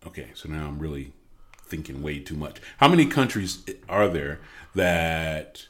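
A man co-hosting a casual podcast chat, playful and mock-serious, thinking out loud. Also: rumbling low, moderate pace. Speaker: podcast co-host.

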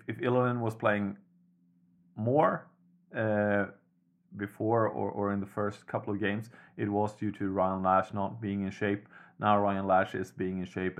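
A man hosting a casual podcast chat about hockey, talking things through at an even, measured pace. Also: fairly low pitch. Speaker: podcast host.

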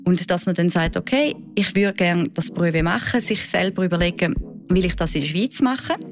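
A woman's voice: lowish voice, a little fast, faint music behind. Chatty Swiss German woman. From Switzerland. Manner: lively, chatty storytelling with frequent fillers. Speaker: diving instructor. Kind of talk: interview answers.

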